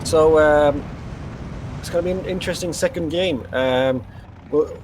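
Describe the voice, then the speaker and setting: Hesitant male co-caster, slightly high voice. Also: measured pace, darkish tone, slight background noise. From Sweden. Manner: hesitant, many fillers. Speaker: esports co-caster. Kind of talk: live game commentary.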